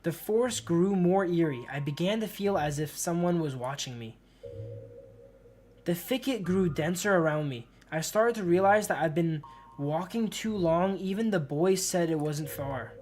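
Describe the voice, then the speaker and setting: A man's voice: fairly high pitch, expressive, moderate pace, darkish tone, a little hiss or hum. A man doing casual game commentary as a streamer, reading story text aloud and chatting in loose bro-and-dude slang. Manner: slangy, informal, often addressing listeners as bro and dude. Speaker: live streamer. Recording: music playing behind the voice. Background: music.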